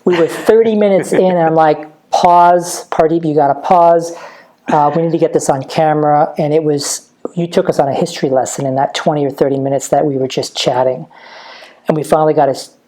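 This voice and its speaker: Woman host, low mellow voice, chatty and appreciative. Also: steady tone, a little fast. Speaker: interviewer. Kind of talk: conversational interview host. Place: heritage museum.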